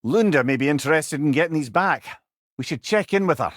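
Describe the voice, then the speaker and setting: A man's voice: on the high side, lively intonation, brisk, no room sound, clean studio sound. A man voicing a wise, witty old Scottish advisor in a video game, with a wry, eloquent and rueful manner. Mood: advising. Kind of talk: video game character dialogue.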